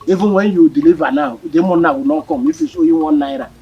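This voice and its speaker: An actor playing a calm middle-aged village meeting secretary. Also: male voice, fairly high pitch, fast, lo-fi sound, lightly acted.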